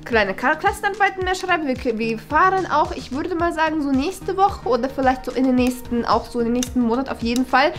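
A woman's voice: high voice, expressive, fairly quick, hardly any pauses, soft background music. A young woman playing a smug, flighty, bossy new headmistress in a comedy sketch, chatty and rambling. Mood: breezy, self-satisfied. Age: young adult. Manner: chatty, rambling, self-satisfied. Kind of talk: improvised comedy sketch acting. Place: small bright meeting room.

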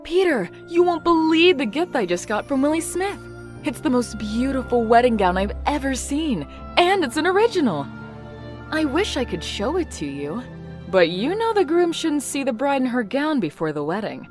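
Woman acting spirited high-voiced young bride. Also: very animated, moderate pace, soft background music. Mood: excited. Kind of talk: amateur comic dub acting.

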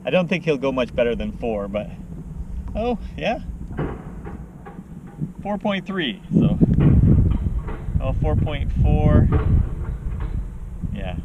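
A man's voice: a little high, sing-song, slow, pauses often, highs cut off. Casting: middle-aged man, casual outdoor fishing vlogger, upbeat short phrases, recorded in heavy wind noise. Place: outdoors on a small boat on a lake. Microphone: camera mounted on the boat, a few feet away. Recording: loud wind noise on camera mic. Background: wind and water noise.

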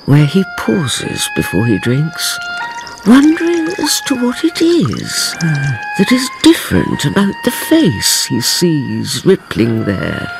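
A woman playing the narrator of a radio drama, telling it in a deliberate, suspenseful, theatrical manner. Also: low-pitched, huge pitch swings, vocal fry, music in the background, heavily acted, rough recording. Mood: grave, dramatic. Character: grave, dramatic female storyteller narrating revenge.

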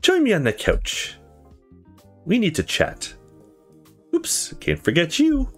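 Man as tipsy young woman for a visual novel, bold, playful, raised swooping pitch. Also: wildly swooping pitch, takes it slowly, soft background music, heavily acted. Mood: drunken playful. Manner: tipsy, mock-ceremonial, bold. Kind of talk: visual novel dialogue read aloud.

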